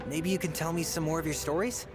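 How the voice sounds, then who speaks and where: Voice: mid-range voice, fairly even intonation, quick pace, lightly acted. An actor voicing an earnest, eager young adventurer in a game, with music behind. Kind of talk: game voice acting.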